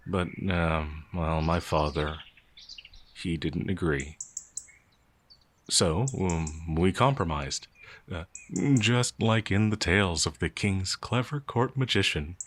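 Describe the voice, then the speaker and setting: Man playing a timid, slow-talking gentle werewolf. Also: low-pitched, full character voice. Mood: shy, fond. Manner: hesitant, stammering, meek and naively earnest. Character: timid, gentle, innocent male werewolf farmhand, older than he appears. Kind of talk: audio roleplay character acting.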